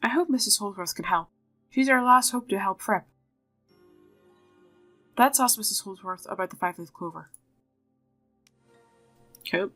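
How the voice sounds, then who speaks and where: A woman's voice: medium pitch, normal speed, long pauses, fairly bright tone, faint music behind. A woman YouTuber playing through a game, reading the dialogue aloud and commenting as she goes.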